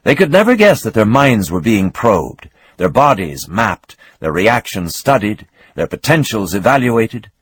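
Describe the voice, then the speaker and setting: Husky, expressive male narrator. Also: fairly low pitch, unhurried, no echo. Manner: expressive, dramatic reading with swelling emphasis. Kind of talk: scripted narration of a novel.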